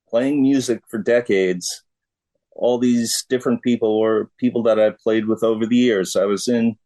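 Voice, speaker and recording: A middle-aged American man answering questions in a casual interview, speaking in an unhurried, halting way. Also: medium pitch, mellow, low-quality recording. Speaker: interview guest, drummer.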